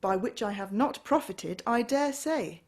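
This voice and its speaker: A woman voicing a cheerful, warm-hearted young man who speaks brightly and pleads gently. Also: on the high side, animated, unhurried, voice pushed up.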